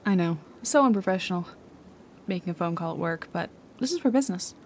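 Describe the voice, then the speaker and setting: A woman's voice: on the low side, lively intonation, a little fast, a little hiss or hum, lightly acted. A woman playing a sarcastic female private detective in a noir ASMR roleplay, with a dry, casually streetwise delivery. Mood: teasing, wry. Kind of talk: noir ASMR audio roleplay, intimate second-person acting. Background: music, rain and city ambience.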